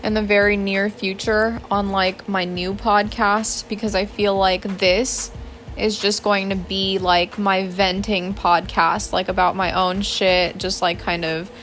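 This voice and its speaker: A young woman talks through her feelings in a loose, rambling solo podcast, full of fillers and casual swearing. Her pitch sits in an ordinary middle range but barely moves, which makes her delivery flat. Faint music plays quietly underneath. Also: a little slow. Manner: earnest, spiritual self-talk. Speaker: podcast host. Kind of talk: casual solo venting monologue.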